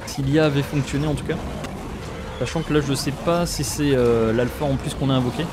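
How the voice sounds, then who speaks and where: Excitable French gamer, noisy game sound. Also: male voice, mid-range voice, brisk. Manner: excitable, exclaiming, talks straight to viewers. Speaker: gaming YouTuber. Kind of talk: let's play commentary.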